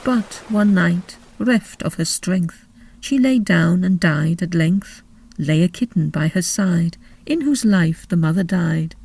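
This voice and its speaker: A woman giving an expressive, theatrical reading of literary narration and verse. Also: lowish voice, slow pace, creaky, breathy edge, dry recording. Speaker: narrator and poetry reader. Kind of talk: literary narration and dramatic recitation.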